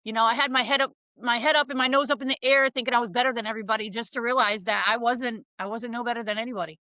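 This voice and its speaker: A woman's voice: on the high side, steady tone, rapid-fire, sharp tone, no echo. A woman in her thirties from Connecticut, a podcast guest telling her life story in a blunt, frank, casual way.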